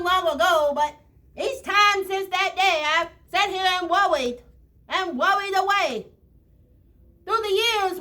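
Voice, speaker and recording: A woman's voice: very high voice, sharp tone. A woman reading a picture book aloud to children, expressively and in short dramatic phrases, often speaking to them directly.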